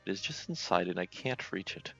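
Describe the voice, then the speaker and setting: A man's voice: low-pitched, animated, brisk, gravelly, bright, forceful tone, dull audio, faint music behind, pitched below the performer's own voice, heavily acted. A man voicing an aging, bumbling, sceptical knight with a blustering, hesitant manner. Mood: sheepish.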